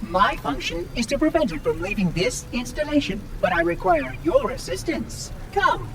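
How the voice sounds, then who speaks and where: Eccentric, courteous machine caretaker, formal and precise with lively swoops, slightly distant, noisy game ambience. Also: male voice, very high voice, normal speed, full character voice. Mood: courteous, cheery. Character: polite, eccentric, chirpy floating robot caretaker. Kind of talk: video game cutscene acting.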